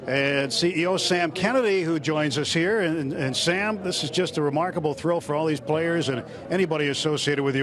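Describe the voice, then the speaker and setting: Higher-voiced radio broadcaster, crowd behind. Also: male voice. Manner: smooth, scripted-sounding questions with stats. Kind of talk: post-game radio interview. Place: hallway outside a clubhouse. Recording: noisy celebration crowd behind.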